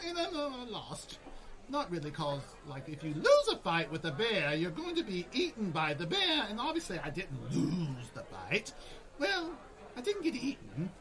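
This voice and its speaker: A woman's voice: mid-range voice, wildly swooping pitch, measured pace, fairly bright tone. A woman playing a hyperactive, scatterbrained little male sorcerer in a comedy radio play, giggly and rambling.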